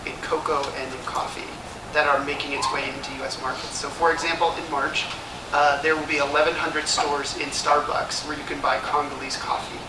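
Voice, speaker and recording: A man speaking off the cuff as a career panelist, giving measured answers with thoughtful pauses. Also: fairly high pitch. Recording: echoey, distant mic, loud room noise.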